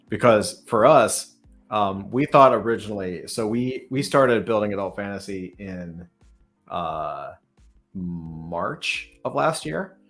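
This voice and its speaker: Low-voiced man hosting a casual interview. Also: fairly bright tone. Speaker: podcast host and startup founder interviewing a guest.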